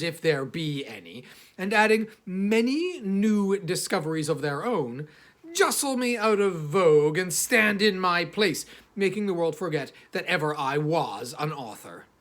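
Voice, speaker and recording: A man narrating an old first-person literary text as an audiobook reader, in a measured, formal, oratorical way with emphatic phrasing. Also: high voice, a bit bright. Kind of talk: scripted narration of an 18th-century travel satire in first person.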